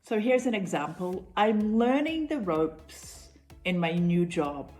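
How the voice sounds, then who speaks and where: New woman, middle-aged English teacher, unhurried and clear, mid-pitched voice. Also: very animated, darkish tone, faint music behind. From New Zealand. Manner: clear, measured explanations with repeated examples. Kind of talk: online English lesson.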